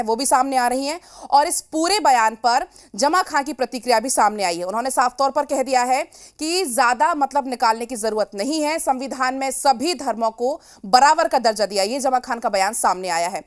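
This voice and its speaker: A woman's voice: sits high, fairly quick, hardly any pauses. A woman news anchor presenting live television news in an emphatic, repetitive way.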